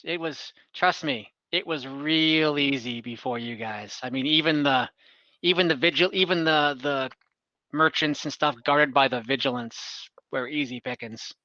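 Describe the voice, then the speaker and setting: Man playing a blunt, bright-voiced female bandit in captivity. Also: medium pitch, measured pace, dry recording, dull audio. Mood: rueful, irritated. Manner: matter-of-fact, offhand.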